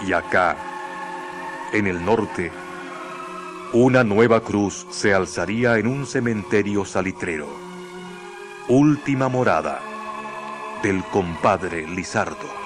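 Deep-voiced solemn Chilean male narrator. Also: slow, pauses often. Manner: solemn, literary. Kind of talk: scripted dramatic storytelling narration for radio. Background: music.

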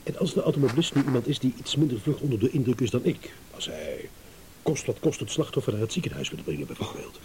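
An actor playing a shrewd, amused businessman in a radio drama, teasing and insinuating with hesitant pauses. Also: mid-range voice, animated, darkish tone, lightly acted. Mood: probing, flattering. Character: shrewd, amused businessman, a blackmail victim turning schemer. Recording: hissy old radio play recording.

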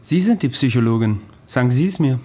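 Ein Schauspieler spielt in einem Hörspiel einen müden, ironischen Patienten, der sich für unsterblich hält, trocken und untertrieben. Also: male voice, mid-range voice, lively intonation, brisk, noisy background, close to an everyday voice. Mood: wry. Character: weary sardonic male patient who claims immortality. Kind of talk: radio drama dialogue.

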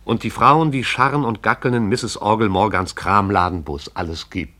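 A man's voice: lowish voice, moderate pace, sharp tone. A man narrating a radio play in a poetic, rhythmic recitation.

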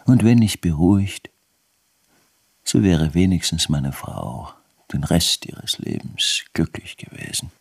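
An actor playing a bitter, obsessive man brooding haltingly over his failed life's work in a radio drama monologue, often dropping toward a whisper. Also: deep voice, big pitch swings, vocal fry, breathy edge, no room sound, exaggerated voice. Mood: regretful, despairing.

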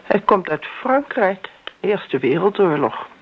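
Middle-aged woman, husky, pointed and probing, radio-play delivery, muffled lo-fi sound. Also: mid-range voice, big pitch swings, takes it slowly, heavily acted. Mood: curious, pointed. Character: insistent grown daughter confronting an older family friend. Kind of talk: radio drama acting. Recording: dull, lo-fi radio drama recording.

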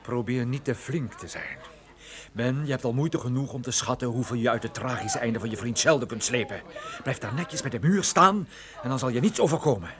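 An actor playing a cool-headed, sardonic private detective in radio play dialogue, speaking with calm command. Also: male voice, mid-range voice, a little fast, a crowd in the background, close to an everyday voice. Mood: cool menace. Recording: radio drama with noisy car effects.

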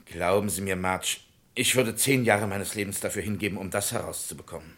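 An actor playing a resigned office colleague in an old German radio crime drama, talking in a measured, placating way. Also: male voice, deep voice, a bit bright. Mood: resigned, soothing.

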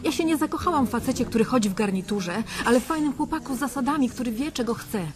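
A woman's voice: fairly high pitch, animated, quick pace, close to an everyday voice. Actress as an indignant young woman. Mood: pleading. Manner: reproachful, pleading.

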